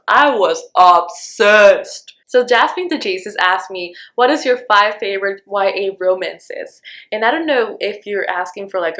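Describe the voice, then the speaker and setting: A woman's voice: fairly low pitch, fairly bright tone. A young woman from Honduras whose first language is Spanish, talking casually to her viewers. She is chatty and loose, filling her sentences with "like" and "um" as she answers questions. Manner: chatty, lots of "like" and "um". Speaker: book vlogger. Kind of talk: casual Q&A vlog.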